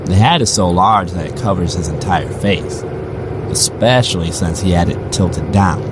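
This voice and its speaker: A man's voice: low-pitched, normal speed, natural voice. A man playing a weary, musing rideshare driver who narrates eerie stories in a conversational, first-person audio drama style. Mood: wry, uneasy. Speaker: narrator and lead character. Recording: clearly noisy, car and street ambience.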